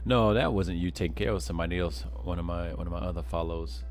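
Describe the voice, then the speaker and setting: A man's voice: sits low, quick pace, soft background music. A man live streaming a game, chatting casually with viewers in a folksy, rambling way, often repeating words.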